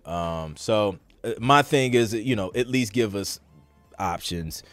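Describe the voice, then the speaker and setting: A man's voice: lowish voice, lively intonation, slow, no room sound, faint music behind. A man hosting a podcast in casual, slangy talk, often repeating phrases as he goes. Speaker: podcast host.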